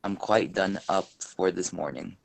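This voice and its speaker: A man reading a part in a play script aloud, in a halting way that stumbles over words. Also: deep voice, gravelly.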